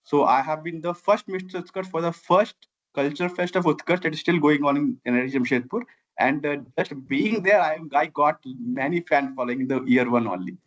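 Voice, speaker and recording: A middle-aged man from Bihar speaks with an Indian accent, Maithili being his first language. His voice sits a little high as he talks earnestly and conversationally as an interview guest. The audio is dull and somewhat lo-fi. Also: fairly quick. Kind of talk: conversational personal storytelling.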